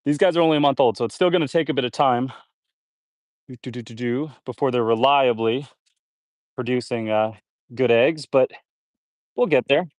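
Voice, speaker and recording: Homesteader man, casual live-stream chatter, a bit congested, mid-pitched, dry close sound. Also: moderate pace, long pauses, dry recording. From Canada. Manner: relaxed, chatty, reads viewer comments aloud. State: a little congested. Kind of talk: casual live-stream chat while building. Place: outdoors on a homestead.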